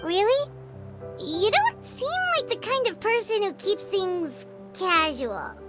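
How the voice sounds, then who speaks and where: An actress voicing a tiny, innocent, earnest childlike companion in a video game, with a squeaky voice. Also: female voice, big pitch swings, slow, exaggerated voice. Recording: loud music behind the voice.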